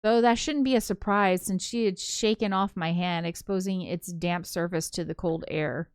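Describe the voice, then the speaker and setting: A woman's voice: lowish voice, steady tone, moderate pace, smooth, no echo, clean studio sound, close to an everyday voice. A woman reading aloud as an anxious, introspective young ghost woman, hesitant and reflective, in visual novel narration. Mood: wistful.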